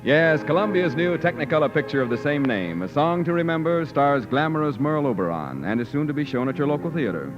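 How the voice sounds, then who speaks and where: A man's voice: a little high, lively intonation, a little fast, few pauses, music in the background. An American man working as a radio announcer, reading a scripted 1940s commercial with polished, emphatic salesmanship. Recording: dull, slightly noisy 1940s radio recording.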